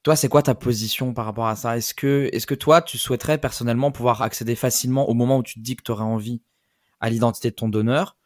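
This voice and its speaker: A man at a middle pitch hosts a relaxed podcast conversation, working his way through long, searching questions. The sound is dry and close. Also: fast, no echo. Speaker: podcast host. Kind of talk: casual interview chat.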